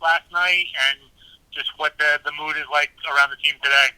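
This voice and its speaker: A man's voice: on the high side, fairly even intonation, normal speed, sharp tone, clear, resonant tone, dry recording, poor audio. A man asking questions as a reporter on a press conference call. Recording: dry phone conference line.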